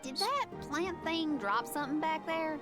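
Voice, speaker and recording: A woman's voice: sits high, animated, a little slow. A woman voicing an eager, brash, teasing young adventurer girl in a fantasy video game. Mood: teasing, pushy. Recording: game audio with loud music behind. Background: game music.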